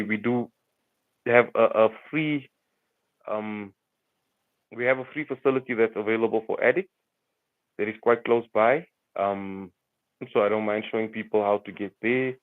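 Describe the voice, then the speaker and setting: Earnest South African man on a tinny line. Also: lowish voice. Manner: earnest, rambling, loose. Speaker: livestreamer and community volunteer. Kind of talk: casual livestream talk to viewers. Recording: tinny phone-like livestream audio.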